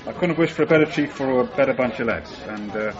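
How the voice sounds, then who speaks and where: An actor playing a cheery, teasing police detective in a naturalistic radio drama, talking in a matey, joshing way. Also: male voice, medium pitch, fast talker, music in the background, natural voice. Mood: awkward, grateful. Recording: dull radio drama recording, pub crowd.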